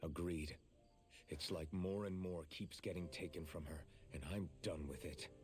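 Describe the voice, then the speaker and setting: Casting: male actor as determined young anime-game hero, deep voice, sincere, plain-spoken, faint music behind. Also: fairly even intonation, normal speed, lightly acted. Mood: sombre, resolute. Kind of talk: anime video game voice acting.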